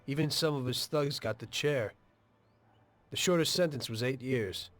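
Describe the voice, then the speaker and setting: Man playing a measured American ex-mobster, medium pitch. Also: no room sound, close to an everyday voice. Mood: reflective. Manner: measured, plain-spoken, understated. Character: weary, matter-of-fact ex-mobster telling his life story. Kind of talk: dramatic video game dialogue and narration.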